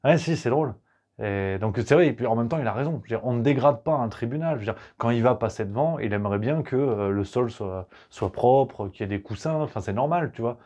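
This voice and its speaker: A man's voice: medium pitch, expressive, a little fast, dark tone. A man in the middle of the male range, riffing with sarcasm and crude jokes. His talk is loose and chatty, full of asides to the listener. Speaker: host. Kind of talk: satirical political commentary.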